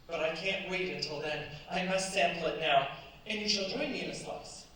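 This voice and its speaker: A man playing a doting young French gentleman of business in a staged radio play, speaking in a genteel, theatrical way. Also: high-pitched, normal speed. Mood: eager, fond. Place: stage at a cultural centre. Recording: echoey stage, distant mic, clear background noise.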